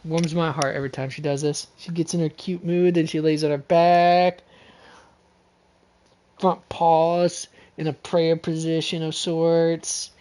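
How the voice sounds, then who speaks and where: A woman's voice: deep voice, flat delivery, measured pace, dark tone. A woman game streamer chatting casually and playfully on a livestream.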